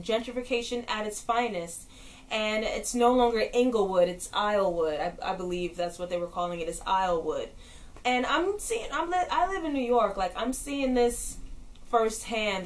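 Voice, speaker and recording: A young woman with a stuffed-up nasal voice from a cold, chatting casually and slangily as she reviews a show on her vlog. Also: mid-range voice. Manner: chatty, slangy, animated, laughs often. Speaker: vlogger, TV reviewer. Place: indoors, in front of a backdrop.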